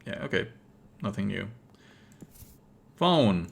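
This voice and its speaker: A man giving live let's play commentary as a game streamer, expressive, sardonic and exasperated, swearing casually. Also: lowish voice, slow, vocal fry, husky.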